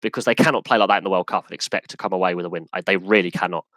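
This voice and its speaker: English man, podcast host, emphatic rant, lowish voice, dry sound. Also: rapid-fire, no echo. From England. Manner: animated, emphatic, restarts and repeats himself. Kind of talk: live Q&A rant.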